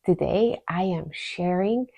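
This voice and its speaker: A woman's voice: lowish voice, slow pace, gravelly, warm tone, dry recording. A woman with a Hungarian accent hosting a crochet tutorial, explaining each step patiently and counting stitches aloud.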